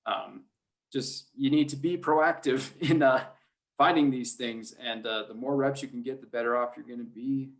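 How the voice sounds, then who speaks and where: A man's voice: mid-range voice, normal speed. Conversational man, casual interview talk. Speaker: high school sports radio play-by-play announcer.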